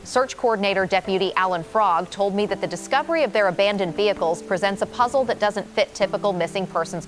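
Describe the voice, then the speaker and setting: A woman's voice: medium pitch, close to an everyday voice. Woman playing a grave radio field reporter. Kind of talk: scripted radio news report.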